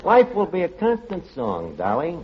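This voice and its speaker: Brash New York tavern manager, animated. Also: male voice, fairly high pitch, moderate pace, slightly rough, heavily acted. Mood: smitten, eager. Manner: slangy, mangles words. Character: brash, lovestruck, word-mangling male tavern manager. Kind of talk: 1940s radio comedy acting. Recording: muffled noisy vintage radio, loud studio audience.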